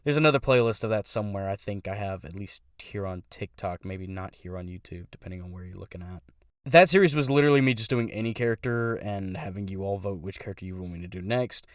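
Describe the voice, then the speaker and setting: A man's voice: deep voice, fast, no room sound, studio quality. A young man who does voice impressions, talking casually about his channel in a rambling way, stopping to correct himself.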